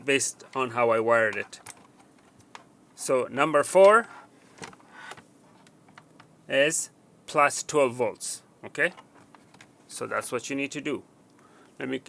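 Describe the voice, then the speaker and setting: A man giving a hands-on wiring walkthrough, halting with fillers and restarts, counting pins aloud as he points. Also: medium pitch, creaky, pushed and bright. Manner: halting, with frequent fillers and restarts while working. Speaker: technician demonstrating wiring.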